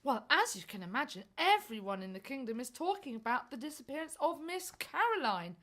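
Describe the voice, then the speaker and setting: A woman's voice: high-pitched, very animated, brisk, fairly bright tone. A woman acting a chatty, playful servant lad in a pantomime radio play, speaking to the audience.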